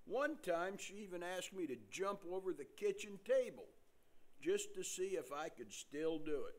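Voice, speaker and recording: An older man playing a suspicious, overprotective relative in an amateur play reading, earnest and deliberate. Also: high-pitched, expressive.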